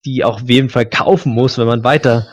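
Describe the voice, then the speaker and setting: A man's voice: mid-range voice, steady tone, brisk. A man in a casual discussion, rambling loosely with many fillers. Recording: dull lo-fi audio.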